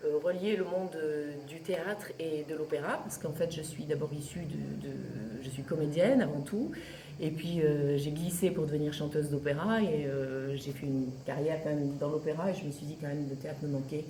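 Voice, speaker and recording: A woman's voice: deep voice, normal speed, dark tone, reverberant, a little hiss or hum. A woman, a playwright and actress, talking about her play in an interview with frequent hesitations. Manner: hesitant, many fillers, cheerful. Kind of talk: festival interview, casual chat.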